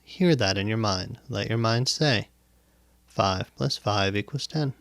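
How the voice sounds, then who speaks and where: Slow, low-voiced man leading meditation. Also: a bit bright, no room sound. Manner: deliberate, instructive, with pauses and repetition. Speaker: meditation teacher. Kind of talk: guided meditation, spoken instruction. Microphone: close microphone, dry sound.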